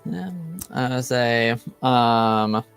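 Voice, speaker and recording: A man's voice: mid-range voice, drawn-out words, faint music behind. A man acting as game master of a tabletop roleplaying game, casually narrating and explaining.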